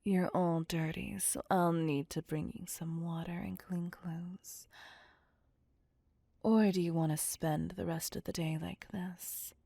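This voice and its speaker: A woman acting a slow, husky, whispering vampire seductress. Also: on the low side, steady tone, warm tone, no room sound, heavily acted. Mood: teasing, smug. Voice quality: breathy, husky. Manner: slow, teasing, seductive, domineering. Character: possessive, cocky, sadistic female vampire captor, playfully menacing. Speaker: voice actor performing audio roleplay. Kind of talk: ASMR roleplay, breathy close whispering.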